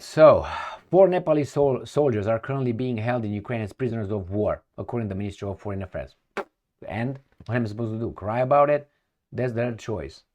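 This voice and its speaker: A man's voice: medium pitch, darkish tone. A middle-aged man from Romania with a Romanian accent, ranting as a political commentator, sarcastic and profane and full of rhetorical questions.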